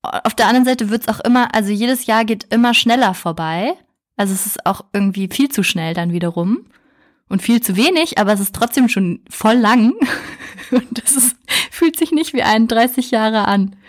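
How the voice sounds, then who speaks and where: A woman's voice: on the high side, animated, normal speed. A woman in her early thirties hosting a podcast, chatting casually and laughing often as she asks reflective questions. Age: 30s. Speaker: podcast host.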